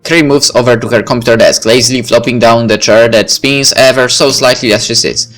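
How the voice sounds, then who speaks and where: A man's voice: mid-range voice, fairly even intonation, brisk, a bit bright. A man reading a visual novel aloud as narration, stumbling over the odd word, with music behind him. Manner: stumbles over and rereads words. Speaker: reader playing through a visual novel. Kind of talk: narrated read-aloud of a story game.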